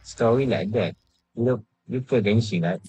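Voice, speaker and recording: A man's voice: medium pitch, measured pace, dark tone, low-quality recording. A man casually commenting on a game he is playing, in short muttered, fragmented remarks. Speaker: game streamer. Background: game music and dialogue.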